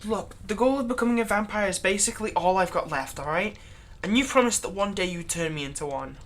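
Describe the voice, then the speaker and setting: A woman playing a long-serving, exasperated human servant of a vampire in a dramatic roleplay monologue, halting and sardonic. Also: medium pitch, animated, natural voice. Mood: frustrated, pleading. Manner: conversational, halting, sardonic, emphatic when aggrieved. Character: long-serving human thrall, exasperated, pleading, turning menacing toward vampire master. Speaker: roleplay audio performer.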